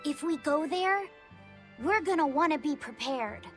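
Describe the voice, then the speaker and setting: An actress playing a gentle, curious little boy in a video game, speaking in an earnest, questioning way. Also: sits high, animated, unhurried, soft background music.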